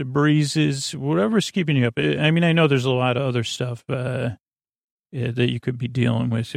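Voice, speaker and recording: Male sleep podcast host, gravelly and lulling, wandering off topic, very dry close sound. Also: mid-range voice, no room sound. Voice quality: creaky. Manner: meandering, hesitant, full of tangents and filler words; soothing, lulling delivery. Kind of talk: rambling bedtime sleep podcast monologue.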